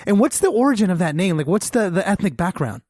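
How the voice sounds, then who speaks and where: High-voiced man hosting a podcast. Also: very animated, quick pace, darkish tone, dry recording, studio quality. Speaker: podcast host. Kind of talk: casual interview chat.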